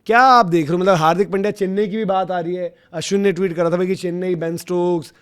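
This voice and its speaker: A man talking as host of a casual cricket debate show, in colloquial, animated speech mixed with English words. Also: sits high, quick pace, clean tone. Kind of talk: casual sports debate chat.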